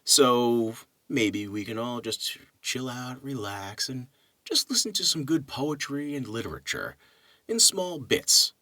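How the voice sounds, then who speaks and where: A man who narrates and teaches literature, reading poetry and talking casually with deliberate, dramatic phrasing. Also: medium pitch, very animated, sharp tone, no room sound.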